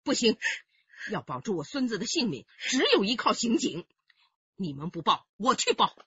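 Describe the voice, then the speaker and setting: An actress playing a stern grandmother in a radio drama, sharp, reproachful and commanding. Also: medium pitch, sing-song, normal speed, slightly rough, dry recording, muffled recording. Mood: indignant, resolute. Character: stern, scolding grandmother, decisive family matriarch.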